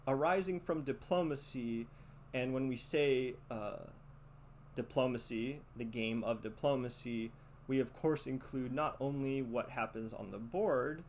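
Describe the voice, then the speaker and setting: A man with a mid-pitched voice hosts a solo podcast. He speaks deliberately and haltingly, as if thinking through each point. There is some noise behind his voice. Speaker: podcast host. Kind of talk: podcast monologue with read-out letter and commentary. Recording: noticeable background noise.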